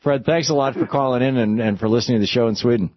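A man's voice: mid-range voice, rapid-fire, no echo, dull audio. A man hosting a call-in radio show, talking through legal and political points with many restarts. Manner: discursive, argumentative, stops and restarts mid-sentence. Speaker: radio talk show host.

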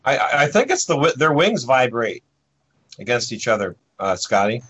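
A man's voice: medium pitch, sing-song, moderate pace, fairly bright tone, slightly rough. A man on a casual group call, chiming in with friendly asides. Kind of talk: casual chat.

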